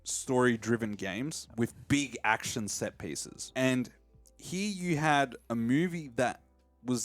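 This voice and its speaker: A man's voice: medium pitch, dry recording. A man hosting a podcast, discussing films in a casual, opinionated way. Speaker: podcast host.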